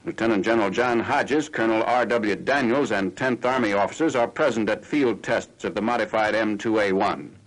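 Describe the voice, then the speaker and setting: An American man reading scripted 1940s military newsreel narration in a formal, factual, measured announcer style. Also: fairly low pitch. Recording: noisy 1940s newsreel film soundtrack.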